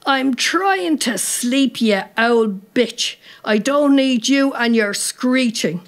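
A woman's voice: mid-range voice, slow pace, fairly bright tone. A woman voicing a bad-tempered, snarling Irish night watchman in a story, with an Irish accent. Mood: irritated. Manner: snarling, abusive.

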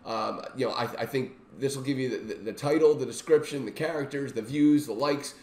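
A middle-aged man with a voice worn by illness gives an informal, enthusiastic software walkthrough as he shares his screen. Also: medium pitch, brisk. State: sick, recovering from a lost voice. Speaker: software reviewer and demonstrator. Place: small indoor home room. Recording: roomy, echoey home-room sound.

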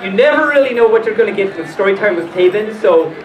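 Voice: medium pitch, lively intonation, quick pace, dull audio. Playful storyteller in a roomy, distant recording. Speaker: storytime host. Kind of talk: reading stories aloud to a live audience with casual asides. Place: hotel function room at a convention. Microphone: distant camera microphone, speaker in a full mascot costume. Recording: roomy, distant, noisy camera audio.

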